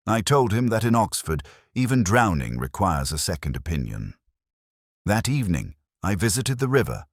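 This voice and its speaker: A man narrating a first-person detective story in a measured, brooding, literary manner. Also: rumbling low, no room sound, clean studio sound. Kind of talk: scripted first-person audio drama narration.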